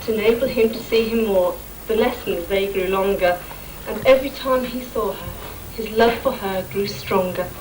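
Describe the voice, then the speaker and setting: A woman's voice: medium pitch, moderate pace, darkish tone. A woman narrating an amateur pantomime in scripted rhyming verse. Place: stage performance. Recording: noisy amateur live stage recording.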